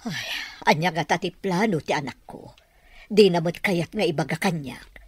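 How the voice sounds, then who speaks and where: A woman's voice: lowish voice, normal speed, breathy edge, no room sound. An actress playing an exasperated older mother in a radio drama, scolding and fretful.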